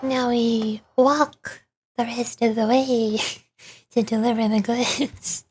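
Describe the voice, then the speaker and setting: High-voiced woman streaming a game. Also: fairly even intonation, slow, darkish tone, a little hiss or hum. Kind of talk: livestream game playthrough, reading dialogue aloud and chatting.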